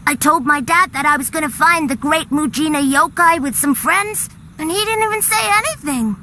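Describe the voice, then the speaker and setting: A woman's voice: high voice, fairly quick, sharp tone, a little hiss or hum. A woman voicing a stubborn young village child who trusts their father, speaking in an insistent, childlike way. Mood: eager. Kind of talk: video game cutscene voice acting. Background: game music.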